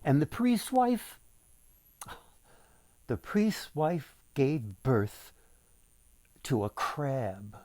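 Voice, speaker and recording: A man reading a folktale aloud as its author, with deliberate, dramatic phrasing for young listeners. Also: medium pitch, huge pitch swings, a little mellow, no echo.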